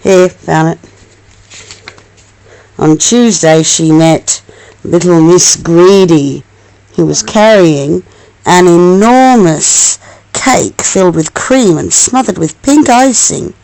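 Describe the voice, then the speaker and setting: A woman reading a storybook aloud as a mother to her young child, expressive and affectionate, with coaxing asides. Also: lowish voice, takes it slowly, long pauses, low-quality recording.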